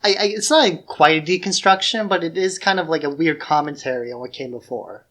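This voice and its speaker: A man's voice: a little high, brisk, fairly bright tone. A man chats as a podcast co-host about films. His wording is loose and informal, full of little filler words, and the whole delivery is casual and conversational. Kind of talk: casual conversational film discussion.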